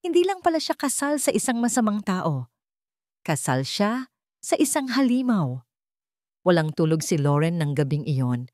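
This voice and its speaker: A woman's voice: medium pitch, darkish tone, no echo, studio quality. A woman reading a scripted dramatic story as an audiobook narrator.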